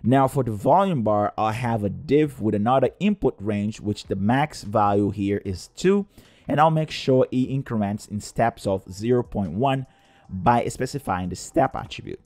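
Low-voiced man narrating a coding tutorial. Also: expressive, moderate pace, darkish tone, dry recording, studio quality. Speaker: tutorial presenter.

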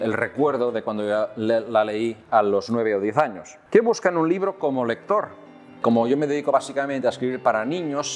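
Calm Catalan-accented man in his fifties. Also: mid-range voice. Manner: calm, measured, with many "eh" fillers. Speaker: children's author answering questions. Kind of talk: recorded talk to camera for children. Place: indoor room with tall wooden windows.

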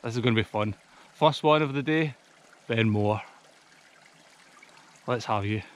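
A man's voice: mid-range voice, a little slow. A Scottish man in his thirties, slightly out of breath, chatting casually to camera as a hiking vlogger outdoors on a mountainside. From Scotland. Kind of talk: casual vlog chat.

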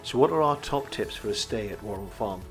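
Man narrating a calm travel voice-over. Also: fairly low pitch, a little fast, loud music behind the voice. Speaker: campsite reviewer.

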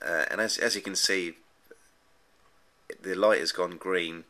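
A man's voice: low voice, steady tone, measured pace, sharp tone. A man giving a casual, unscripted app review in a hesitant, rambling way, often repeating his words. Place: indoors at a desk, off screen.

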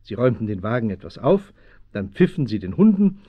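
A man as the measured, suspenseful narrator of a German children's radio play, with a husky voice. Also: mid-range voice, big pitch swings, no echo. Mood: storytelling. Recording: muffled 1970s radio play recording.

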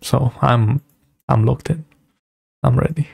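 Husky, mellow young man streaming. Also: mid-range voice, slow, dry recording. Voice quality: husky, gravelly. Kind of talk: casual live reaction commentary. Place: indoor home streaming room.

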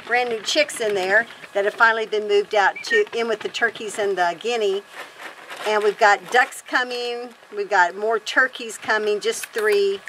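A woman's voice: medium pitch, steady tone, normal speed, a bit bright, a little hiss or hum. A woman in her fifties chatting casually to camera outdoors on a wooden deck, rambling and correcting herself as she talks. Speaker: homesteader vlog host.

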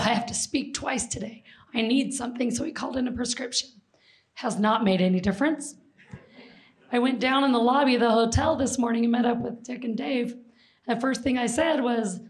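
A middle-aged woman from Seattle with a hoarse, failing voice, giving a lively history presentation and quizzing an audience. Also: on the high side. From Seattle, Washington. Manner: lively, jokes with the audience, asks questions. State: hoarse, losing her voice. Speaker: presenter and flight attendant. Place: large auditorium stage. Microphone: handheld mic.

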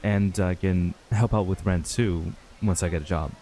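A man acting a stressed, earnest young runaway in an intimate audio roleplay, speaking haltingly with sighs. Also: sits low, steady tone, warm tone, close to an everyday voice. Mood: surprised, relieved. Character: stressed young man, frustrated runaway reuniting with childhood friend.

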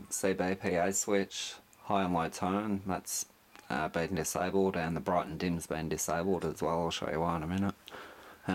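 A man's voice: low-pitched, a little hiss or hum. A man giving a casual, unscripted demonstration of his radio gear as a hobbyist, recorded indoors on a camera microphone. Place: indoors at a desk, behind the camera.

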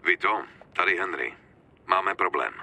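An actor playing a worried, guarded gangster speaking tersely over a telephone in a dubbed game. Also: male voice, low voice, animated, vocal fry, pushed and bright, exaggerated voice. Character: worried male gangster reporting trouble by telephone. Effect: telephone filter. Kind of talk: dramatic game dub acting. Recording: noisy, crackly telephone line.

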